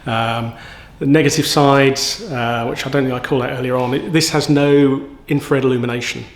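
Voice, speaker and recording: A man's voice: medium pitch, steady tone, moderate pace. Guy doing a casual product review, normal mid voice, bit hesitant, just explaining stuff. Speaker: product reviewer and home renovator.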